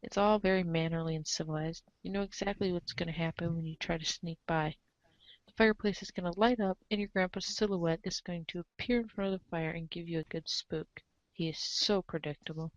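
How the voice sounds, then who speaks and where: A woman with a lowish voice reads a comic script aloud in a theatrical, emphatic way. Her delivery is playfully exasperated. The recording is very dry and slightly dull. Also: steady tone, a little fast, no room sound. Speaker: reader in a reader's theater show. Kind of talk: animated dramatic reading of a webcomic, in second person.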